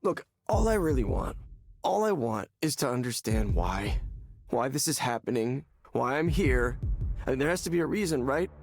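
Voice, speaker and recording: An actor playing a despairing, hollow man in a visual novel game, speaking haltingly and repeating words as he searches for them. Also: medium pitch, big pitch swings, no room sound, faint music behind. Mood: desperate, pleading. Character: despairing, hollow man confiding in a counseling session.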